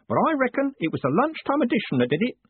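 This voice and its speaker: A man's voice: very high voice, wildly swooping pitch, fast talker, breathy edge, dry recording, pitched above the performer's own voice, exaggerated voice. A man doing an eager, beaming old-school clerk. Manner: cheerful, beaming, calls everyone sir. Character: eager, deferential male post office clerk. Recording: dry, tinny phone-like sound.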